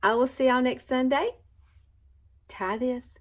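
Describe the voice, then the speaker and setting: A woman's voice: high voice, a little slow. A woman, chatting casually through a makeup tutorial and calling viewers girl and y'all. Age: 60s. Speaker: beauty vlogger.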